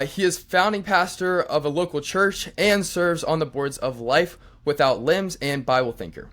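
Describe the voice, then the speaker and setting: A man's voice: a little high, fairly bright tone. A young man co-hosting a podcast, upbeat and enthusiastic as he welcomes and introduces a guest. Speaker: podcast co-host.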